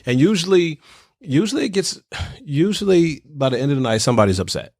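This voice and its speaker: A man's voice: on the high side, big pitch swings, fast, darkish tone, no echo. Teasing man, dry close mic. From Michigan, US. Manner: playful teasing, stumbles and restarts. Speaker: podcast co-host. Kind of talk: casual chat.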